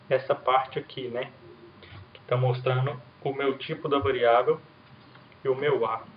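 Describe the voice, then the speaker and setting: Male coding instructor, roomy lo-fi recording. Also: medium pitch. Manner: casual explanatory, frequent "né?" and "certo?" checks. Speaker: programming instructor. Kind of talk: recorded coding lesson with screen demo. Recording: roomy, dull lo-fi sound, faint hiss.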